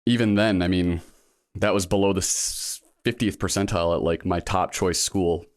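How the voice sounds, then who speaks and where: A man's voice: low-pitched, fairly even intonation, normal speed, no room sound, studio quality. A man in his early thirties, a guest in a casual interview, talking earnestly and rambling with lots of fillers. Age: 30s. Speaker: guest, law school applicant.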